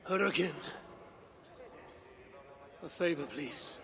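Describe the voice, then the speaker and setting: An actor playing a vengeful, weary Norse father god in a video game, speaking gravely and deliberately with a gravelly voice. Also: on the high side, in a large hall, a crowd in the background. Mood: grim, commanding. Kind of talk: dramatic cinematic video game acting.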